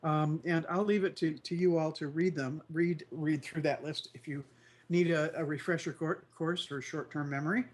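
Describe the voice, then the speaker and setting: A man's voice: a little high, fairly even intonation, moderate pace. Man with a congested, nasal voice. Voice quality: slightly nasal, croaky. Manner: rambling, many fillers and restarts. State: congested, recovering from flu. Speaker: co-host. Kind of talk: casual news chat show.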